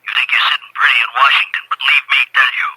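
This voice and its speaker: An actor playing a threatening gangster boss with a rough, ungrammatical way of talking and a breathy rasp, in old-time radio drama. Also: male voice, mid-range voice, little pitch movement, quick pace, noisy background, close to an everyday voice. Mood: menacing. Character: menacing gangster boss, threatening, demanding, uneducated.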